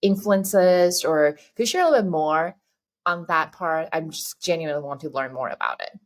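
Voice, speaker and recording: A woman's voice: deep voice, lively intonation, moderate pace. A woman hosting a podcast, asking questions eagerly and a little self-deprecatingly. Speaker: podcast host. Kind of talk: casual interview.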